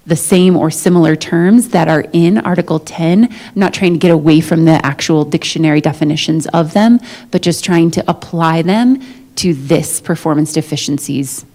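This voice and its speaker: A woman speaking as a management negotiator in a formal but conversational meeting, explaining things in a measured, diplomatic way. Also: low voice, mellow. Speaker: negotiator for a school district's management. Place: meeting room, live-streamed negotiation session.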